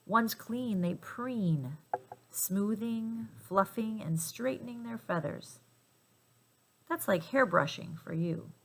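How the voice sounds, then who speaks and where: A woman reading a children's picture book aloud in an expressive, emphatic and playful way for young listeners. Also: medium pitch, slow, a little hiss or hum. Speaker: storybook reader.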